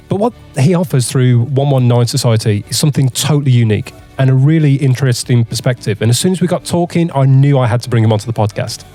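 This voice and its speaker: Host: man, mellow warm tone, chatty and matey, soft music underneath. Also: medium pitch. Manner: chatty and matey, calls the guest mate. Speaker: podcast host. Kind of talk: casual interview talk.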